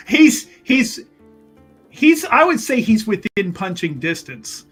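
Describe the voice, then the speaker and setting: A man's voice: high-pitched, big pitch swings, slow, fairly bright tone, breathy edge, soft background music. A man chatting casually and expressively as a player in a tabletop roleplaying game.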